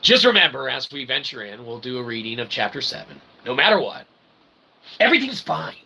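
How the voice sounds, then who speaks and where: Creaky-voiced man rambling philosophically over noise. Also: mid-range voice, big pitch swings, fairly quick, bright, forceful tone, low-quality recording. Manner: wordy, convoluted phrasing with tag questions. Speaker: host of a philosophy livestream. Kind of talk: rambling philosophical monologue to viewers. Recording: clear background noise.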